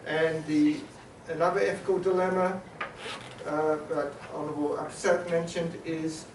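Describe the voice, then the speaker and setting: A man with a slightly high voice moderates a panel discussion, pausing often and filling gaps with hesitant sounds. He is from New Zealand. His voice carries through a reverberant conference hall, picked up from some way off the microphone, with a steady background hiss. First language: Maori. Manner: halting, many filler sounds and restarts, summarizing. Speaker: panel facilitator. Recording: echoey hall, mic at a distance.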